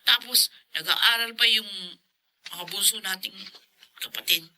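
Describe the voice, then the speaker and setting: Maalalahaning ate, napakatinis ng tunog. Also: female voice, medium pitch, a little slow, pushed and bright. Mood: apologetic. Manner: concerned, apologetic. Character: caring, hard-up older sister, adult, apologetic. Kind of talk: Filipino radio drama acting.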